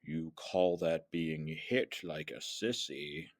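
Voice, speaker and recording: Man as an old dark lord, slow, sinister, mocking, theatrical villain in a comic tale. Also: extremely low pitch, no room sound, clean studio sound, voice pushed down.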